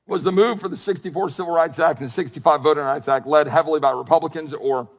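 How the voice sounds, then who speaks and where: Male lawmaker, rapid formal questioning, reverberant chamber. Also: on the high side.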